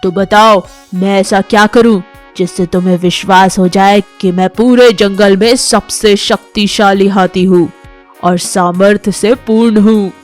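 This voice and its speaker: A pompous male elephant, voiced by a woman, speaking slowly and weightily at a medium pitch for a woman. He is boastful and bossy, in a children's story with soft music behind. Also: steady tone, lightly acted. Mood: demanding. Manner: pompous, demanding. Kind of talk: animated children's animal fable.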